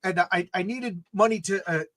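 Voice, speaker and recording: A man's voice: high-pitched, moderate pace, a bit bright, no echo, clean studio sound. A middle-aged man with a New York accent, hosting a casual chat and telling stories with many stammered restarts. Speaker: host. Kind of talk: casual conversation.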